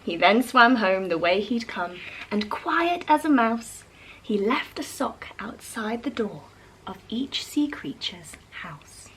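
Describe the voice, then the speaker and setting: A woman's voice: on the high side, slow, slight background noise, rough recording. A woman storyteller reading a rhyming picture book aloud expressively for young children. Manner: animated, addresses young listeners.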